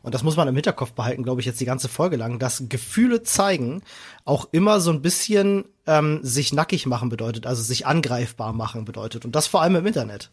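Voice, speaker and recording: A man's voice: medium pitch, animated, fast talker, breathy edge. A young man, a guest on a casual podcast, speaking thoughtfully with a stuffed-up, nasal voice. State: congested, nasal.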